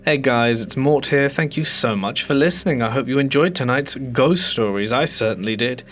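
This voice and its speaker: A man hosting a ghost story channel, reading submitted stories aloud in a calm, measured way with slowed dramatic pauses. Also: medium pitch, soft background music.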